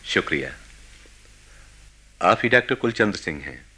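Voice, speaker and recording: A man's voice: sits low, big pitch swings, moderate pace, pushed and bright, slightly rough, exaggerated voice. A man acting a police investigator in a radio play, formal, probing and insistent.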